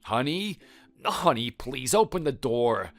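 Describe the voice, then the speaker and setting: A man plays a cheating husband in an audio drama. His voice jumps around in pitch as he stammers defensive, pleading excuses, with faint music underneath. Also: sing-song, slow pace, no room sound. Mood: panicked, pleading. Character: cheating husband caught in a motel, defensive, flustered liar. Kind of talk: dramatized audio story acting.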